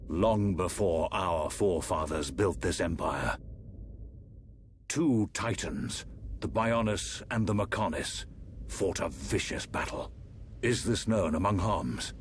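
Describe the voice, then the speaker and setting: Deep-voiced, solemn fantasy emperor. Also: male voice, animated, slight background noise. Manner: formal, measured, grave. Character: solemn aging emperor, stately fantasy ruler and father. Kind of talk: dramatic video game voice acting.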